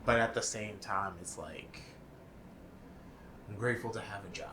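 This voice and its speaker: A young adult man co-hosting a casual podcast, talking in a halting, hesitant way with many fillers and restarts. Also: lowish voice, steady tone, background noise. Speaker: podcast co-host and video editor. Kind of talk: casual chat.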